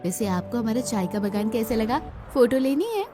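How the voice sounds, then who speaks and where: High-voiced frank young village girl, woman acting. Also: big pitch swings, moderate pace, over music. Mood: matter-of-fact. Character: frank young village sister-in-law, college student.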